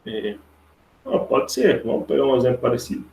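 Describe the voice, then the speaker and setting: A Brazilian man with a creaky voice teaching a live online logic lesson step by step, informally, repeating himself and checking for agreement with tag questions. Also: medium pitch, big pitch swings, normal speed, darkish tone, a little hiss or hum. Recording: roomy, echoey online class audio.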